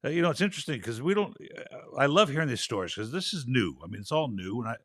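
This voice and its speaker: A male host in a casual interview chat, a little raspy from travel, chatty and rambling. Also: mid-range voice, expressive, fast, fairly bright tone, no room sound, studio quality. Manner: chatty, rambling, laughs easily.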